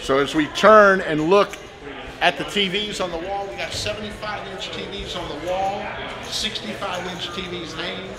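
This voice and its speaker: A man's voice: high-pitched, steady tone, normal speed. A man hosting a walking tour of a poker room like an upbeat presenter, pointing the camera at each area. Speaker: poker show host. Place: large indoor poker room. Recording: echoey room with crowd noise. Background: crowd chatter.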